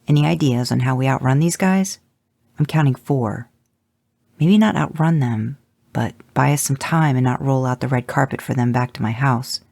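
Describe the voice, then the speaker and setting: Dean, a reader doing a gruff hunter barking orders. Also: medium pitch, normal speed, darkish tone, dry recording. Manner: curt orders, dry sarcasm. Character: Dean, gruff male monster hunter, commanding.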